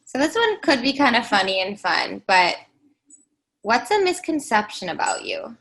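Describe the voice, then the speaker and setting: A young woman with a high, bubbly voice co-hosts a casual podcast chat. Her tone is bright, and some vocal fry runs through it. She sounds upbeat and friendly. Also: sing-song, moderate pace, poor audio. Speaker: podcast co-host.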